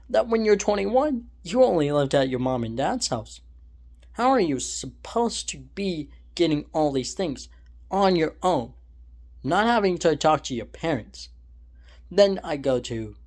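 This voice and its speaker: Slow, rambling young man on his phone. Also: a little high, a little mellow. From California, USA. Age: young adult. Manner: rambling, self-correcting, opinionated. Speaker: vlogger. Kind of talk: casual opinion monologue. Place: indoors, small room at home. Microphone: phone held at arm's length, selfie framing.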